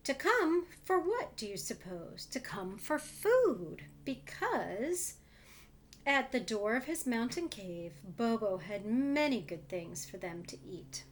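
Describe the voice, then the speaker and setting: A woman reads a picture book aloud to young children. Her voice is a little high and her pace is slow, with expressive narration and gentle explanatory asides. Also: slight background noise. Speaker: storybook reader for children.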